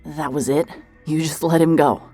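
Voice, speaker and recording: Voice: medium pitch, fairly even intonation, normal speed, warm tone, slightly rough, faint music behind, natural voice. An actor playing a hard-hearted, suspicious investigator in an audio drama, with a blunt, sardonic, pressing way of talking. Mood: skeptical. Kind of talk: cinematic audio drama acting, naturalistic.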